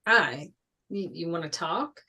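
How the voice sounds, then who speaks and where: A woman's voice: fairly low pitch, lively intonation, takes it slowly, bright, forceful tone, husky, exaggerated voice. A woman reading an earnest, probing teenage jock boy in a casual, questioning way at a table read. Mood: gentle. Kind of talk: table read of a film script.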